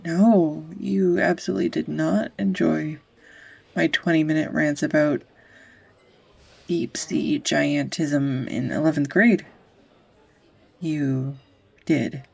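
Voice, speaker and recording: A young woman acting a tender, easily flustered sweetheart in an intimate romantic audio roleplay, with a husky, breathy, hesitant voice. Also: deep voice, fairly even intonation, slow pace, long pauses, a little mellow, lo-fi sound, exaggerated voice. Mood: vulnerable, fond. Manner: hesitant, confessional, breaking into whispers.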